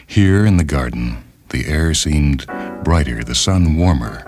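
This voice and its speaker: Man, extremely low bass voice, formal storytelling, deliberate delivery, radio host reading fiction, 1970s radio recording, music underneath. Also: lively intonation, a little mellow. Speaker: host and reader of a radio short-story program. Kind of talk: scripted literary narration. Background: music bed behind the reading.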